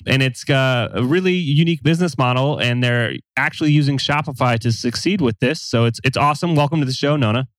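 A man hosting a podcast in a relaxed, casual way, using plenty of fillers. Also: medium pitch, fairly quick, hardly any pauses, no room sound. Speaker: podcast host.

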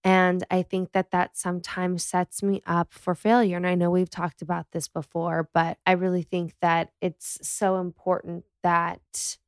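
A woman's voice: fairly low pitch, flat delivery, measured pace, hardly any pauses, clear, resonant tone, no echo, studio quality. A woman in her thirties hosting a casual solo podcast, talking in a reflective, easygoing way, a bit congested from a cold. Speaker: podcast host. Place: dim bedroom.

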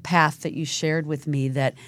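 Low-voiced woman hosting an interview. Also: fairly even intonation, unhurried, dry recording. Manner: affirming, frequent murmured agreement. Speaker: talk show host. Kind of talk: interviewing a guest.

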